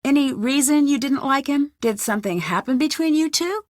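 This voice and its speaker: A young American amateur sleuth for a video game. Her fairly high voice is plucky and inquisitive, with direct questions and wry replies in a dry studio sound. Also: animated, normal speed, no echo. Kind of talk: video game character acting.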